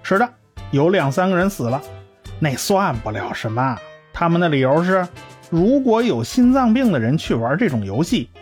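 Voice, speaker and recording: Give it as a man playing a learned scientist who explains everything: keep your voice a little high and very animated, lecturing with soft music beneath. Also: normal speed. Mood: explaining. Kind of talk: amateur radio drama. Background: faint music.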